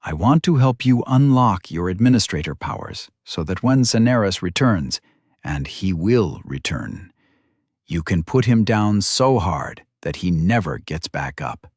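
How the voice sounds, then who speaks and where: A man's voice: low-pitched, sing-song, a little mellow, breathy edge, no room sound, clean studio sound, full character voice. A man voicing a dignified old elf mage in an audiobook, patient and formal, choosing words precisely. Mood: grim anger. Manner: formal, measured, precise wording.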